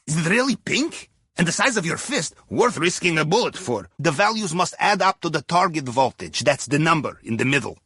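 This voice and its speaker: An actor playing a wry, chatty Russian heist planner in a video game, speaking accented broken English in a droll, folksy way. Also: male voice, a little high, sing-song, moderate pace, bright, forceful tone, slightly rough, dry recording, studio quality, full character voice. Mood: wry amusement, then urgent, brisk. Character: wry, jovial Russian submarine captain's heist planner, male. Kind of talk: video game mission dialogue over radio.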